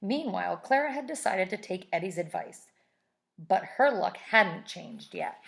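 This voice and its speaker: Creaky-voiced woman reading a story aloud. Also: mid-range voice, expressive, unhurried. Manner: explains step by step in simple words. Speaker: teacher reading a picture book aloud. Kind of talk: read-aloud storytelling with explanatory asides.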